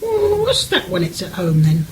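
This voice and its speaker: An actress as a breezy, swooping English big sister. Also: female voice, lowish voice, huge pitch swings, moderate pace, darkish tone, poor audio. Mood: teasing. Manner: breezy, colloquial, teasing. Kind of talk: period radio play. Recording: faint background noise.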